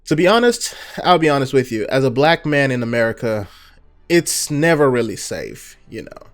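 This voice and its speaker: A man's voice: mid-range voice, normal speed. Young nigerian guy doing a casual q&a, laid back, lots of "you know"s. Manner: relaxed, chatty, many fillers and "you know"s. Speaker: gaming channel host. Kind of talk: casual Q&A talk to viewers.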